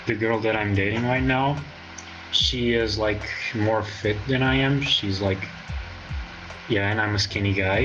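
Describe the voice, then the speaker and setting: Portuguese guy in his 20s, kinda hesitant, lots of ums, muffled voice chat w music behind. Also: lowish voice. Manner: hesitant, many fillers and restarts. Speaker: guest. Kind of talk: casual interview in VR.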